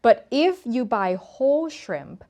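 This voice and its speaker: A woman's voice: on the high side, expressive, slow pace, darkish tone. A woman from Thailand hosting a casual cooking tutorial, talking to camera in a chatty, playful, emphatic way. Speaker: cooking show host.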